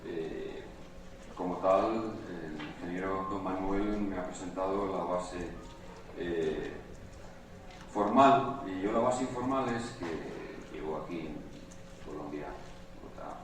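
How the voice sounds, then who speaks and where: Spanish man, low slow delivery, conference speaker, hesitant, mic far off in a roomy hall with faint noise. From Spain. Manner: hesitant, many filler sounds, addresses audience directly. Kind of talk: live conference talk.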